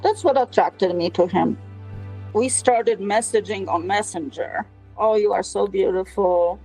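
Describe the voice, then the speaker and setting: A woman in her sixties from Poland with a Polish accent, telling her own story in an interview and often pausing on fillers. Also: medium pitch, a little mellow, husky, faint music behind, low-quality recording. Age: 60s. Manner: halting, with frequent fillers.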